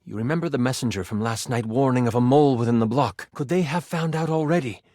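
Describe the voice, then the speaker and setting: An actor voicing a living twenty-sided die, an eager, playful game master who narrates a tabletop adventure theatrically and expressively. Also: male voice, medium pitch, fast, no echo, clean studio sound, close to an everyday voice. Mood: dramatic, suspenseful. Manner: dramatic storytelling, expressive, playful.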